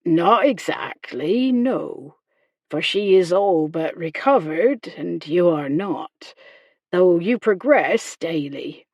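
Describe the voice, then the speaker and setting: A woman voicing a kindly, proper male country doctor, gentle and hesitant, in a period romance audiobook. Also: fairly low pitch, animated, slow, no echo, studio quality, exaggerated voice. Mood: measured, professional.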